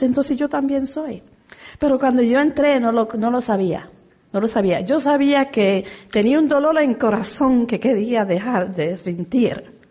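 A woman's voice: fairly high pitch, dark tone, slightly rough. A woman from Puerto Rico giving her personal testimony at a recovery meeting, hesitant, with many fillers and restarts. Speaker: AA meeting member sharing.

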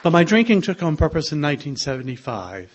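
An older man with a soft voice, sharing his own story in a gentle, wry way. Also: fairly high pitch, big pitch swings, quick pace, lo-fi sound, a faint crowd. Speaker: speaker sharing a recovery story. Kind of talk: personal testimony to a group.

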